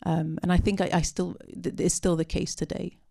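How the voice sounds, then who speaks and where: A woman speaking unscripted at a post-film discussion, answering audience questions with many pauses, ums and restarts. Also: fairly low pitch, level tone, vocal fry, crowd noise. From part Syrian.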